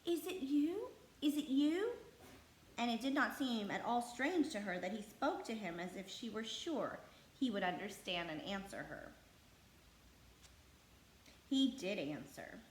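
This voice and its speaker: Female storybook narrator, mid pitch, expressive read-aloud, roomy echo. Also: a little slow. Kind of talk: scripted storybook narration for children. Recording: echoey room with faint background noise.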